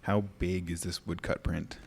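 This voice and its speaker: A young man hosting a student podcast, relaxed and playful in casual chat. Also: deep voice, normal speed, vocal fry, a little hiss or hum. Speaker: podcast host.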